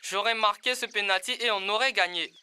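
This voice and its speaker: A woman's voice: medium pitch, brisk, pushed and bright, slight background noise, lightly acted. A woman voicing a sulky young boy footballer in a children's cartoon, childlike and plaintive.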